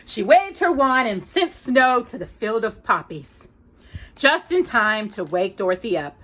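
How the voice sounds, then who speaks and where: A woman hosts a children's storytime. Her voice is high and swings widely in pitch, and she takes her time, drawing words out playfully. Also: measured pace. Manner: expressive, drawn out, playful. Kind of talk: theatrical children's storytelling with casual asides.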